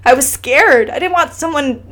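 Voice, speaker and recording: A woman's voice: sits high, moderate pace, fairly bright tone. A woman talking as an interview guest, earnest and impassioned, speaking in a casual conversational way with frequent restarts. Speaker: guest sharing her family's immigration story.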